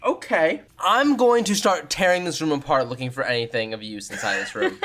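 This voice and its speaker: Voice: mid-range voice, brisk, a bit bright. A tabletop game player chatting casually and describing actions in improvised role-play.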